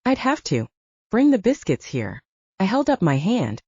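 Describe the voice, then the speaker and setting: A woman narrating a first-person audiobook in a lively storytelling manner, lightly voicing the dialogue. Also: mid-range voice, normal speed, slightly rough, no echo, highs cut off.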